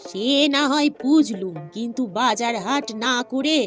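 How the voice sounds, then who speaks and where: A woman's voice: on the high side, measured pace, lightly acted. A woman voicing a practical village housewife in a cartoon, talking in a questioning, exclamatory way. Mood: nagging, doubtful. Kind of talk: animated cartoon dialogue. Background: music.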